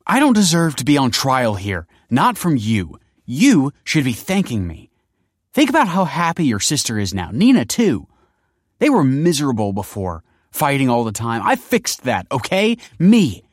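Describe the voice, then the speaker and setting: Man voicing a tearful, pleading wife, pitched up. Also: brisk, no room sound, studio quality, natural voice. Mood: defensive, agitated. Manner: pleading, self-justifying, emotional. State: tearful, sniffing. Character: distraught, defensive wife pleading tearfully with her husband.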